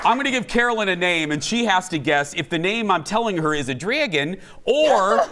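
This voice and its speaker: A man's voice: high voice, big pitch swings, fairly quick, bright, forceful tone, a faint crowd. A man with a Minnesota accent hosting a talk show, teasing and laughing often as he runs a quiz game. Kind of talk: playful talk show banter.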